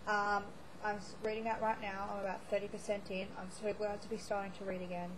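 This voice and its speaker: A woman's voice: mid-range voice, level tone, fast talker, background noise. A woman starting to lose her voice, rambling chattily through a reading wrap-up with lots of fillers and restarts. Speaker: book blogger. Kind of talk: casual monthly reading wrap-up vlog.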